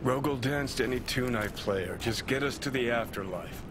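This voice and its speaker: Video game role: male actor as arrogant middle-aged American rockstar, cynical and abrasive, with clear background vehicle noise. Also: mid-range voice, a little fast, lightly acted. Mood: cocky. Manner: profane, cocky, sardonic. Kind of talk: cinematic video game acting.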